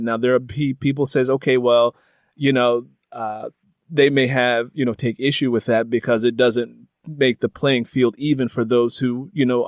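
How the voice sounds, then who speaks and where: A man's voice: mid-range voice, no echo, studio quality. A man hosting a casual interview, telling personal stories in a meandering way peppered with "you know". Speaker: interviewer and host.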